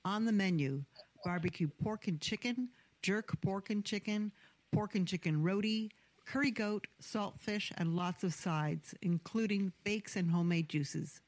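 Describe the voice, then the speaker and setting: A woman's voice: medium pitch, unhurried. Woman reading a radio ad. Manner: clear, even, promotional. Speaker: announcer. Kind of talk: radio ad read.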